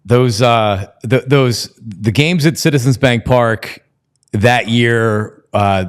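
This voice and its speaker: A man's voice: medium pitch, slow, clean tone. Middle-aged male sports show host. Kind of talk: casual sports chat.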